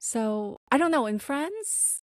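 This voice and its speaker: A woman's voice: sits high, slow, dry recording. A woman with a slight non-native accent, co-hosting a podcast and telling a work story in casual chat, acting out the quotes. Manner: animated storytelling with laughs and acted-out quotes. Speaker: podcast co-host.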